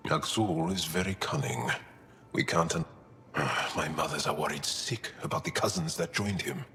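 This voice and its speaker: A man playing a gruff, proud alien warrior in a science fiction video game. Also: a bass voice, animated, moderate pace, bright, forceful tone, big-room sound, a little hiss or hum, exaggerated voice. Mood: grave, troubled.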